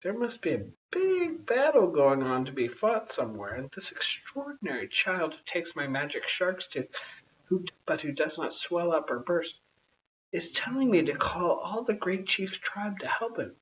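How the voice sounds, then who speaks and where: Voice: medium pitch, big pitch swings, normal speed, fairly bright tone, pitched above the performer's own voice. A storyteller voicing an earnest, awed stranger man who is anxious to be polite, in a children's storybook. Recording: dull, hissy, distant home recording.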